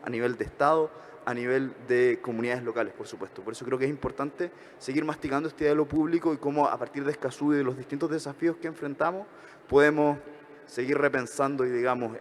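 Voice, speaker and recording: Fast-talking Chilean man in reverb. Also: mid-range voice, slight background noise. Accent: Chilean Spanish. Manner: fast talking with frequent hesitations and self-corrections. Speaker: conference speaker. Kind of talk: spoken reflection at a conference workshop.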